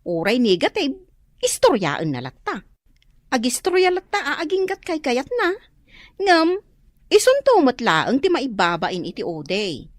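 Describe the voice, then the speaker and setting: A chatty, level-headed neighbour in an Ilocano radio drama. Her Filipino-accented voice is high and very animated in pitch. She talks conversationally and is recorded dry. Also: a little slow, no room sound, heavily acted. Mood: friendly, gossipy.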